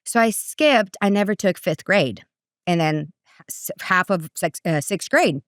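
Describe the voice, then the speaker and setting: A middle-aged woman from Brazil, a parenting coach who tells her story in a casual, animated way, and her voice jumps up high when she quotes people. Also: a little slow, no room sound, clean studio sound. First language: Portuguese.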